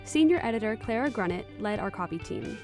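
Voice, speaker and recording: A woman's voice: medium pitch, expressive, fairly quick, darkish tone, soft background music. A woman co-hosting a student radio news show, presenting in a friendly, upbeat way. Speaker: co-host.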